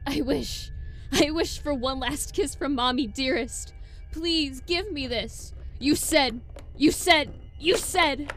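Woman as undead horror-story mother, high voice, slow delivery, sweet insistent pleading, faint music behind. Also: fairly bright tone. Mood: desperate pleading. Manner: slow, coaxing endearments. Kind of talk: horror audio story acting.

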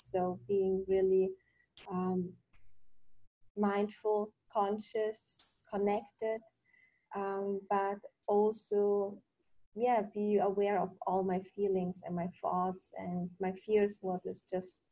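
Woman in her thirties from Poland, slow, hesitant speech, mellow husky voice, dry sound. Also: medium pitch, no echo, rough recording. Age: 30s. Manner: hesitant, many ums, self-correcting. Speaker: podcast guest. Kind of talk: interview answers.

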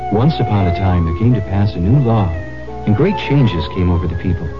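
A man narrating a radio public service spot like a storybook fable, measured and solemn. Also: low-pitched, expressive, over loud music. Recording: muffled old radio broadcast.

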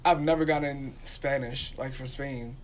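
A man's voice: medium pitch, fairly even intonation, a little slow, a little hiss or hum, poor audio. A man talking casually and with opinion, speaking emphatically and repeating his points for stress. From Dominican community.

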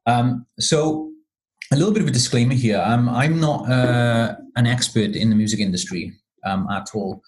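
A man's voice: medium pitch, normal speed, poor audio. A man from Wales, a podcast guest talking casually and hesitantly with many ums and restarts. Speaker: podcast guest, marketing consultant.

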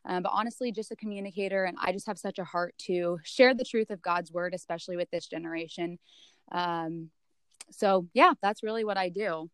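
Earnest young woman, smooth clear voice. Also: fairly low pitch, fairly quick, dry recording. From San Francisco area, California. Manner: earnest, reflective, many ums. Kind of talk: casual interview chat.

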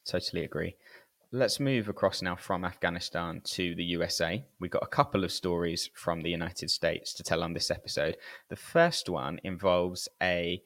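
A man's voice: sits low, moderate pace, fairly bright tone. A man co-hosting a podcast, chatting and relating stories in a casual, conversational way. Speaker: podcast co-host. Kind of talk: casual chat and storytelling.

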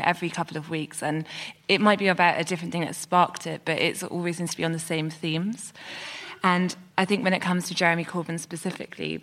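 A woman's voice: lowish voice, a bit bright. A woman giving analytical, matter-of-fact political commentary as a journalist on a debate panel. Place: radio theatre stage.

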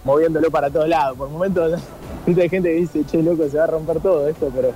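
A man's voice: on the high side, fairly even intonation, dark tone, poor audio. Argentine male reporter over city noise. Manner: informal, colloquial, halting with repetitions. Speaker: on-location sports reporter. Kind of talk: live unscripted match-day report. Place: outdoors in a city by a metro. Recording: clear outdoor urban traffic noise.

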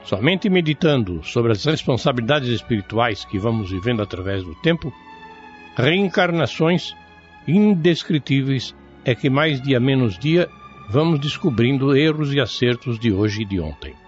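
A man's voice: medium pitch, lively intonation, normal speed, muffled recording, faint music behind. A man with a Brazilian accent delivering scripted moral commentary in a solemn, reflective way.

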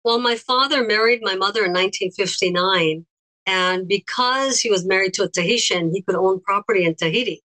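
A woman guest with a slight foreign accent, recalling memories in a gentle, unhurried way with pauses. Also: mid-range voice, low-quality recording. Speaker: interview guest. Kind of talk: recollecting in conversation.

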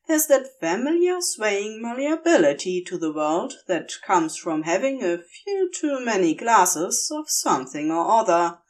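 Woman reading a story out loud, really slow, lots of up and down in her voice, expressive narrator. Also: mid-range voice. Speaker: fan fiction reader, narrator.

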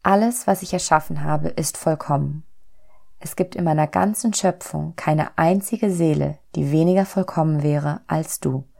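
Lady playing god in a storybook, calm n patient, mellow, slightly gravelly. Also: sits low. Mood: calm, solemn. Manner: calm, measured, assured. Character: God, calm all-knowing patient creator.

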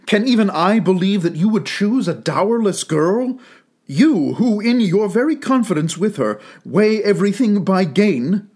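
A man voicing a gentle, sorrowful young woman who speaks gravely and steadily in a soft, pleading tone. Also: sits high, voice pushed up, heavily acted. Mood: tender.

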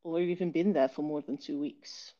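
A Dutch woman in her thirties with a learned British accent, a low-energy author speaking hesitantly with many fillers from a hospital bed. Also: steady tone, moderate pace, muffled recording. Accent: Dutch speaker with learned British English accent. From Netherlands. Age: 30s. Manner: soft-spoken, hesitant with many fillers, occasional laughs. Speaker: author and illustrator, guest. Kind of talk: casual remote interview.

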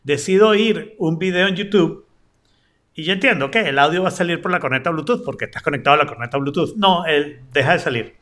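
A man's voice: on the high side, fairly quick, a bit bright. A man in a casual group chat, explaining his opinions at length in a jokey way. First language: Spanish.

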